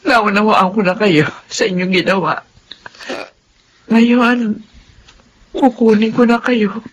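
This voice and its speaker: A woman's voice: mid-range voice, steady tone, slow, slight background noise, close to an everyday voice, low-quality recording. An actress playing an aging, yearning and forgiving mother in a Filipino melodrama, emotive and earnest. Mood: tender. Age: older.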